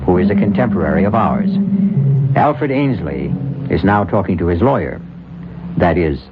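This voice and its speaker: A man hosting a suspense radio drama, narrating in a measured, wry way with dramatic pauses. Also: sits low, lively intonation, very noisy. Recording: muffled old radio broadcast.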